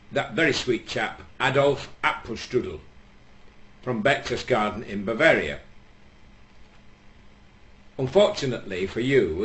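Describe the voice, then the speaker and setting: A jovial, self-mocking man from England hosting his show and telling jokes. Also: medium pitch. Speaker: joke-telling host. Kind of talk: joke telling.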